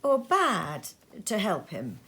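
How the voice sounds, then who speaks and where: A woman's voice: medium pitch, huge pitch swings, takes it slowly, pushed and bright, exaggerated voice. A woman voicing a mild, patient young wife in a storybook reading, anxious and faint in manner.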